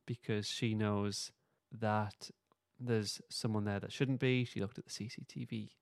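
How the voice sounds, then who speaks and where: A man's voice: lowish voice, steady tone, measured pace, creaky, no echo, clean studio sound. A man hosting a podcast, casually recapping and discussing in an informal way. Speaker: podcast host.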